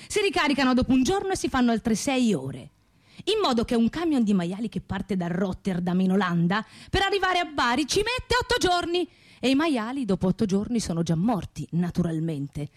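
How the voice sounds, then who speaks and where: Radio theatre: mocking, declamatory woman, very animated intonation. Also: on the high side. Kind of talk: theatrical radio drama monologue and dialogue.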